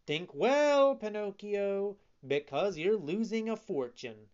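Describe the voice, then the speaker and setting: Storybook fox swindler, coaxing and slippery, done by the narrator, pitched up and unhurried. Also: expressive, a little mellow, highs cut off. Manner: persuasive, coaxing, mock-offended. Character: sly smooth-talking fox swindler. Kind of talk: audiobook reading.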